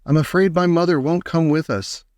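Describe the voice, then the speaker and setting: Storybook child, played by a man: earnest, exclamatory small boy with a raised, light pitch. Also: normal speed, a little mellow, no echo, clean studio sound, natural voice. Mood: worried, earnest. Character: sweet, wide-eyed little boy, earnest and concerned.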